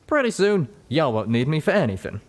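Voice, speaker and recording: A man's voice: a little high, sing-song, fairly quick, pitched above the performer's own voice. A man voicing a folksy, wry male flying pony companion in an audiobook, with a drawling country dialect. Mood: teasing. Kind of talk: audiobook character voice.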